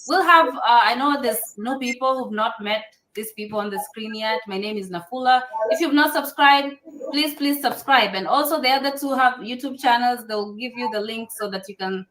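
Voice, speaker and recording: A woman's voice: a little high, normal speed, a bit bright, echoey room, rough recording. A woman hosting a live stream, chatting casually and giving advice, with a laugh and many fillers.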